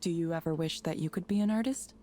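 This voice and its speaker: A woman voicing a calm, polite AI counselling program with measured, impersonal delivery in a visual novel. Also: fairly low pitch, natural voice. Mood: polite, neutral. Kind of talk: visual novel game voice acting.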